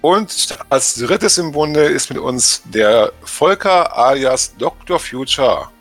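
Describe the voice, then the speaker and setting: A man's voice: mid-range voice, lively intonation, takes it slowly, fairly bright tone, soft background music. A man hosting a podcast, moderating an interview with guests. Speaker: podcast host.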